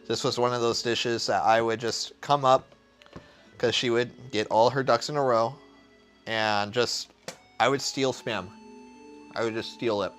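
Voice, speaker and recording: A man's voice: mid-range voice, fairly bright tone. Crude joking chef streamer, man. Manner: crude, joking, profane, talks to chat. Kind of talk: casual livestream cooking chat.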